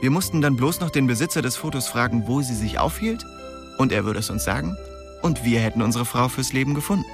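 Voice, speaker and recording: A man acts a young streetwise narrator in a radio play, telling of his youth in a plain, colloquial way. His voice sits at an average male pitch, with soft music under it. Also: animated, fast, natural voice. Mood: wry storytelling. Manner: colloquial, storytelling. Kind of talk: radio drama first-person narration.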